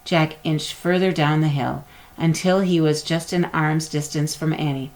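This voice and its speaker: A woman narrating a children's chapter book aloud in an expressive storytelling manner. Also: low voice, unhurried, low-quality recording. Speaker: storybook reader.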